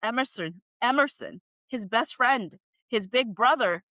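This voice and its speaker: A woman voicing a shaken, grieving sister in a screenplay, speaking in a halting, earnest, quaking way. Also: a little high, animated, sharp tone, no room sound, clean studio sound, voice pushed up. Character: grieving, shaken woman pleading for her brother.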